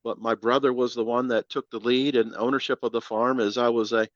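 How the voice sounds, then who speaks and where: A man in his fifties from Ohio, answering interview questions in a relaxed, plain-spoken way. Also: mid-range voice, a little fast, no room sound. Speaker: guest, nutritionist. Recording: dry, dull lo-fi sound.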